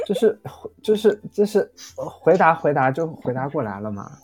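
A man in a casual group chat as a voice actor guest, speaking in hesitant, halting phrases. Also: on the high side, big pitch swings, soft background music.

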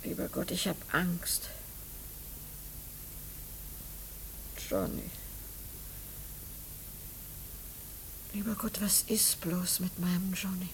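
A woman's voice: fairly low pitch, fairly even intonation, moderate pace, vocal fry. An actress playing a lonely, anxious middle-aged widowed mother in a German radio play, speaking in a hesitant, homely way. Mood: fearful. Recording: noisy old radio drama recording, clicks.

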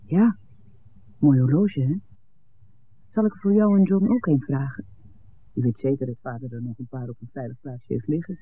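An actor playing a frank young villager from a smuggling family, talking informally in a 1960s radio play. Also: male voice, mid-range voice, moderate pace, pauses often, close to an everyday voice. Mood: candid, wry. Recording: tinny old radio recording with noise.

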